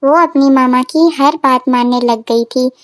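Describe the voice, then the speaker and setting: A woman's voice: sits high, a little slow, no echo. A woman narrating a scripted children's story as storyteller, in a simple, repetitive manner.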